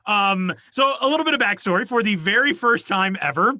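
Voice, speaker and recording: Bright, fairly high guy rambling and making fun of himself on a podcast, dead dry little booth. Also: lively intonation, a little fast, no echo. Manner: rambling, self-deprecating, joking. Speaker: podcast co-host. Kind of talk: casual chat. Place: small soundproofed closet studio. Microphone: home studio mic over video call.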